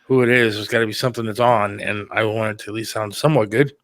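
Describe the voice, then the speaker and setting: A man's voice: lowish voice, fairly even intonation, fast, a bit bright, no echo. A man hosting a talk show, chatting casually in an informal, plain-spoken, conversational way. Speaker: radio producer and talk show host. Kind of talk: casual chat about an upcoming radio show.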